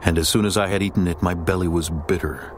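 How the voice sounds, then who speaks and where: A man narrating a dramatized scripture reading in a steady, measured way. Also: sits low, a little hiss or hum. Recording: narration over background music.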